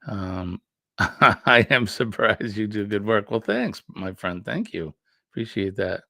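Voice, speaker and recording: A man's voice: on the low side, expressive, slow pace, creaky, bright, forceful tone, no room sound, studio quality. A man hosting a casual morning livestream, greeting viewers in an easygoing, joking way with many fillers. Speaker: livestream host.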